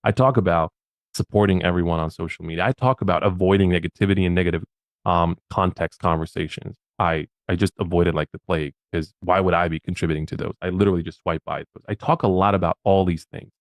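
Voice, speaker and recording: Low-voiced emphatic man giving advice. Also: fairly even intonation, fast, no echo. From Bosnia. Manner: emphatic, punchy, often repeats words. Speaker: podcast guest, social media advisor. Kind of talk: casual advice talk.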